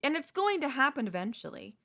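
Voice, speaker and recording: A woman's voice: high-pitched, sing-song, a little fast, fairly bright tone, heavily acted. Woman playing a chatty, coaxing zombie girl. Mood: placating, coaxing. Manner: conversational, coaxing, wheedling. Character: chatty, cheerfully persuasive female zombie craving human flesh. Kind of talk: comedic audio roleplay acting.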